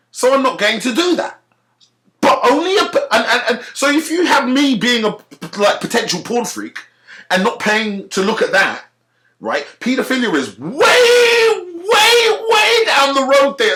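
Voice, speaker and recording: A man from Africa who speaks with a British accent, delivering a heated, profane rant straight to camera. His pitch swings widely, up and down, as he presses each point. He repeats words and restarts sentences as he pushes on. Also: sharp tone. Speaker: video commentator. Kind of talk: ranting commentary to camera.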